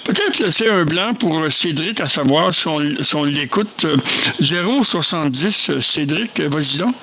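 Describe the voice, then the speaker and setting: A man's voice: on the high side, lively intonation, normal speed, pushed and bright, a little hiss or hum, rough recording. A man with a Quebec French accent chatting casually on amateur radio, hesitantly passing turns around the group. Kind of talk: casual radio contact chat.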